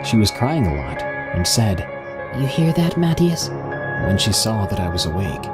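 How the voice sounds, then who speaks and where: A man reading a first-person story in an even, measured storytelling style. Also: on the low side. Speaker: story narrator. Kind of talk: scripted first-person narration. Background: music.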